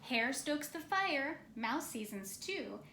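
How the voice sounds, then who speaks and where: A woman with a fairly high voice reads a picture book aloud at a very slow pace. Her storytelling is gentle and playful, with drawn-out phrases and casual asides, in an echoey room. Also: sing-song. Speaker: storybook reader. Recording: roomy echo, some background noise.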